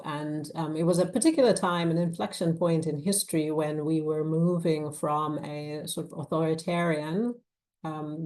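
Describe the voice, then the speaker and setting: A woman speaking as a guest author at a live book event, in a measured, pausing way. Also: deep voice, a little mellow. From Zambia. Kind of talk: live author talk and reading from a novel.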